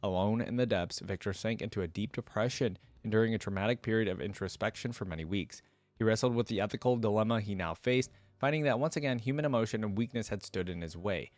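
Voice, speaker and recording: A young man hosting a reaction video, reading lore aloud and adding casual, expressive commentary into a close desk microphone. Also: fairly low pitch, quick pace, no room sound. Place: indoor desk setup at home.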